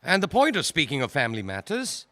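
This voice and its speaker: An actor playing a male prosecutor who questions a witness in a formal, probing way, with careful pauses. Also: a little high, huge pitch swings, pushed and bright, exaggerated voice. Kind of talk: audio drama acting.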